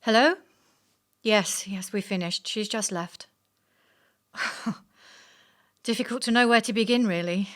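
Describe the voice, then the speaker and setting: A woman playing a cautious, polite supervising examiner in a stage play, speaking in measured official phrases with quiet firmness. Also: mid-range voice, natural voice. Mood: composed, dry.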